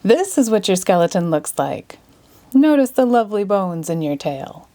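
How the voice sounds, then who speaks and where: A woman voicing a cheerful, grandiose male stage magician in a storybook, with theatrical, drawn-out delivery. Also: medium pitch, very animated, dark tone. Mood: pleased.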